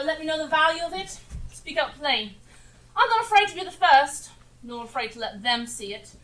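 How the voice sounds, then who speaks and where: A woman's voice: sits high, a bit bright. A woman playing a brazen, callous, scoffing charwoman in an amateur stage play of a Dickens story. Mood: defiant, bold. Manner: defiant, scoffing, declamatory. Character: brazen, callous charwoman selling a dead man's belongings. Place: theatre stage, live performance. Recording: live stage recording, distant and noisy.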